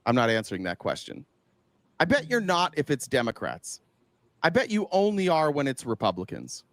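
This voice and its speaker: A man's voice: mid-range voice, wildly swooping pitch, moderate pace, dry recording. A man giving casual political commentary, emphatic, throwing out rhetorical questions and swearing freely.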